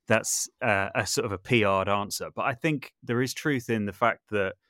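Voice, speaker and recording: A man's voice: fairly low pitch, moderate pace, pushed and bright, dry recording, clean studio sound. A man talking casually as an interview guest, thinking aloud and often rephrasing as he goes. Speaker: podcast guest, composer, sound designer and voice artist.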